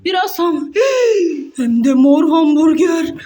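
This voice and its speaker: A woman voicing a gluttonous, excitable toy character in a children's pretend-play skit, exclaiming and gasping melodramatically as it talks to itself. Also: high-pitched, slow pace. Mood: amazed, eager. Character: gluttonous excitable toy character sniffing out hamburgers, scheming cook.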